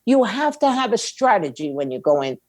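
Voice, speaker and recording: A woman's voice: mid-range voice, very animated, brisk, darkish tone, dry recording. A woman giving opinionated interview answers in a blunt, emphatic way, with slangy phrasing and rhetorical questions. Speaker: music industry attorney and indie label owner, interview guest.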